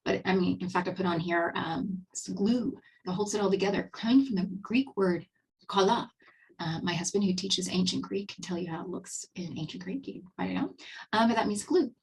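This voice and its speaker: A husky-voiced Texan woman in her fifties chats through a relaxed online presentation, upbeat and full of fillers and restarts. Her voice sits a little away from the microphone, as on a video call. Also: mid-range voice, brisk, recorded from a distance, low-quality recording. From Texas, USA. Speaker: webinar host and skincare presenter. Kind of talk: casual webinar presentation.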